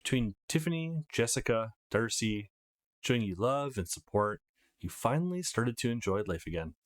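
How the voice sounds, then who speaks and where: A man presents a video game playthrough in an informal, upbeat way. His medium-pitched voice moves up and down a lot, giving the commentary an animated feel. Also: normal speed. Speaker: let's player host. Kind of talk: casual let's play commentary, reading game text aloud.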